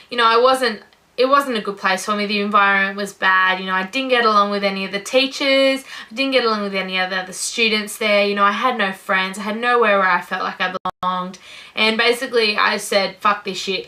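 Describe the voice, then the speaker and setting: Bright, upbeat young Australian woman. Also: medium pitch. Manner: upbeat, gushing, says "incredible" and "you know" often. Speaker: vlogger. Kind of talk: casual talk to camera.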